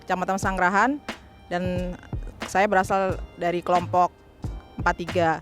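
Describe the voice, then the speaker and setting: A woman from Jakarta who runs a small food business, speaking haltingly in an interview to camera. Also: on the low side, little pitch movement, faint music behind. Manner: halting, with fillers.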